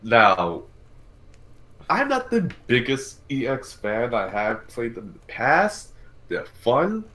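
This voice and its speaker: A man's voice: medium pitch, wildly swooping pitch, slow pace, a bit bright, poor audio. A man hosting a casual gaming talk show, thinking aloud and correcting himself in a conversational way. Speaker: podcast host.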